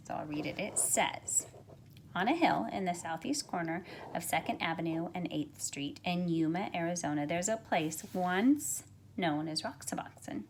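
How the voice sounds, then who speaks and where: A middle-aged woman, a teacher, speaking expressively and deliberately as if to young students during a picture book read-aloud. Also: fairly low pitch, gravelly, slight background noise. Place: carpeted room at home, indoors. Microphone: phone at arm's length.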